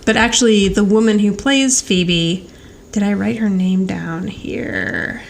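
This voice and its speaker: A woman's voice: mid-range voice, slow, creaky, slight background noise. An American woman chatting casually as a podcast co-host, retelling TV scenes in a chatty, giggly way. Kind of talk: casual TV rewatch podcast chat.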